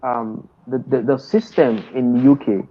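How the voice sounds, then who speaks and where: A man's voice: mid-range voice, fairly even intonation, measured pace. Emphatic man on a dull call. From Nigeria. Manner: emphatic, insistent, many restarts. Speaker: guest trader and mentor. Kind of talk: video call interview. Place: indoor room, seated in an office chair. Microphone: earphone headset on a video call. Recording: dull lo-fi video call audio.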